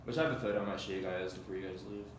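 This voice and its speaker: A man's voice: low voice, fairly even intonation, very fast. A man casually coaching actors through a scene at a table read. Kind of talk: casual directing chat. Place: indoor room at a group table read. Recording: echoey room, distant mic, faint noise.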